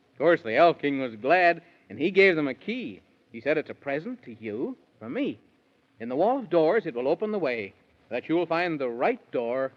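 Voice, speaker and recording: An actor playing a genial storybook narrator, telling a children's tale in verse in 1930s radio style. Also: male voice, fairly high pitch. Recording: muffled 1930s radio recording.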